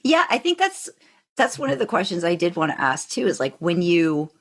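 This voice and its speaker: A woman's voice: fairly low pitch, sing-song, normal speed. A woman hosting a podcast interview in a casual, informal, rambling conversational way. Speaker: podcast host interviewing a guest.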